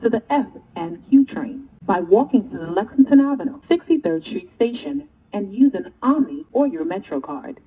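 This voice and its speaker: Woman, subway announcer, scripted, husky voice, muffled lo-fi recording. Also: medium pitch, lively intonation, normal speed, hardly any pauses. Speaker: transit announcer. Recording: dull, lo-fi audio.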